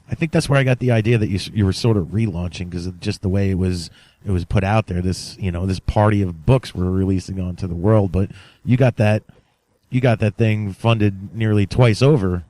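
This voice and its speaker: A man's voice: lowish voice, darkish tone, no room sound. A man hosting a podcast in casual, enthusiastic conversation, repeating himself for emphasis. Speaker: podcast host. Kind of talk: casual interview talk.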